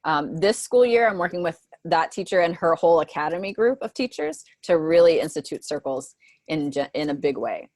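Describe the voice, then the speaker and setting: A woman's voice: low-pitched, lively intonation, brisk, a little mellow, no room sound. A woman presenting to teachers on a mentoring video call, talking conversationally with frequent "um" and "right?" asides.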